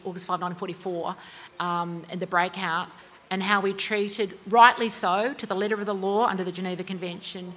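A woman's voice: fairly low pitch, a bit bright, slight background noise. An Australian woman author talking about her novel in an interview, chatty and full of fillers and restarts. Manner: chatty, lots of fillers and self-corrections. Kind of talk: interview at a book talk.

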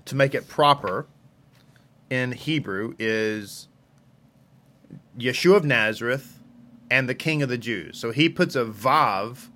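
A man's voice: medium pitch, slow, long pauses, pushed and bright. A man giving an informal scripture lesson as a religious teacher, repeating phrases for emphasis. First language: English.

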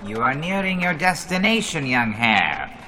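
A man voicing a sinister coachman in a radio drama, drawn-out and menacing. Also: medium pitch, sing-song, background noise. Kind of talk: 1930s radio acting.

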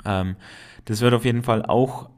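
A man in his late twenties from the Allgäu with a noticeable Allgäu accent, hosting a casual solo podcast in a relaxed, chatty way with many hesitations. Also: medium pitch, normal speed. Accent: Allgäu dialect. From Allgäu, Germany. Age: 20s. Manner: relaxed and chatty, frequent hesitations, laughs at himself. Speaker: podcast host.

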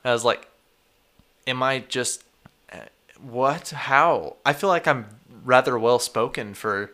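A man's voice: medium pitch, a little slow, pushed and bright. A young man from Texas in casual chat, laid-back, swearing often and saying "dude" and "like".

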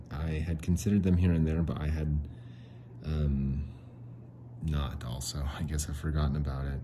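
A man hosting a casual solo podcast, rambling and self-mocking, clearing his throat often and answering his own questions. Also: a bass voice, warm tone, a little hiss or hum. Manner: frequent throat clearing and restarts. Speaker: podcast host.

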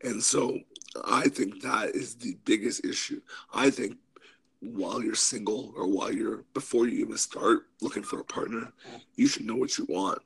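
A man talking on a casual podcast, hoarse from a cold. His voice sits low and comes out husky and breathy, slipping into whispery asides as he works through his points. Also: moderate pace, fairly bright tone, rough recording. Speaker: podcast co-host.

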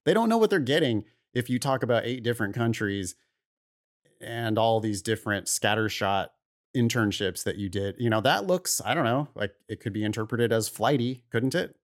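A man's voice: medium pitch, animated, normal speed. A man co-hosting an advice podcast, talking casually and giving blunt, opinionated takes. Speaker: podcast host.

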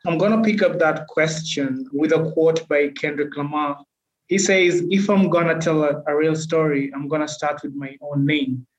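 A man, a podcast guest telling his life story in an earnest, reflective, measured way. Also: fairly high pitch, fairly even intonation. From Kenya. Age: 20s. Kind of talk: personal storytelling in an interview. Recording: echoey, dull lo-fi recording.

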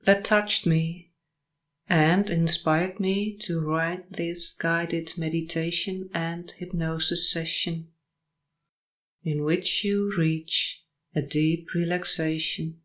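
A woman guiding a sleep meditation and hypnosis session in short, measured, soothing phrases with long pauses between them. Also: fairly low pitch, fairly even intonation.

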